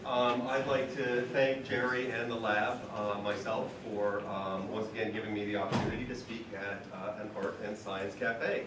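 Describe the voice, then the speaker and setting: Hoarse, hesitant male artist giving a gallery talk; low voice, distant echoey room recording. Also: flat delivery, moderate pace, few pauses. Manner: hesitant, many ums and uhs. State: hoarse from a cold. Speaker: artist presenting his paintings. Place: event room with audience. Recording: echoey room, from far off, noisy.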